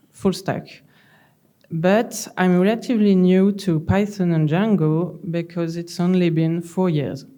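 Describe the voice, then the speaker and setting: A middle-aged woman from France with a French accent, giving a calm, gently humorous conference talk from a lectern in a large hall. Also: fairly low pitch. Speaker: conference speaker.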